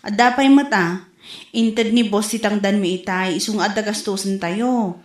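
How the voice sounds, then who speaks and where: A woman's voice: medium pitch, normal speed, close to an everyday voice. A woman playing a hardworking, patient younger sister and young family's mother, speaking earnestly in a comedy audio drama. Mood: agreeable. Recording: echoey, roomy sound.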